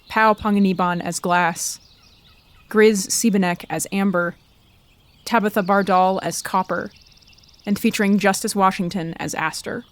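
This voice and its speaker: A woman announcer reading scripted credits for a fiction podcast. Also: medium pitch.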